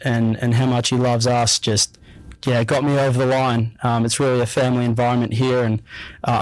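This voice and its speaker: A man's voice: medium pitch, no room sound, low-quality recording. A young man with an Australian accent, answering interview questions in an easygoing way with plenty of fillers. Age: young adult. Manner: relaxed, easygoing, repeats himself with frequent fillers. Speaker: guest athlete. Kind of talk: casual radio interview.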